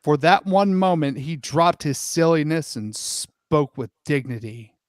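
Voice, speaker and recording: Male comic narrator, theatrical and sweary, slow deliberate storytelling, close dry sound. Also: a little high, expressive, no room sound. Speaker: host and narrator. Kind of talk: comic dramatic storybook reading with asides.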